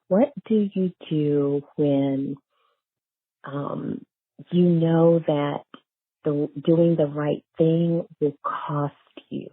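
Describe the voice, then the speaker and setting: A woman's voice: low voice, fairly even intonation, very slow, slightly rough, no room sound. A middle-aged woman, a guest author in a casual interview, telling stories conversationally and stressing key phrases. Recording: thin phone-like line.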